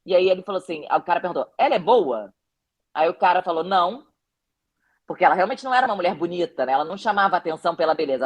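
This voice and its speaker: A woman's voice: fairly low pitch, husky. A woman with a Brazilian Portuguese accent, a documentary director answering interview questions reflectively, telling anecdotes with frequent restarts.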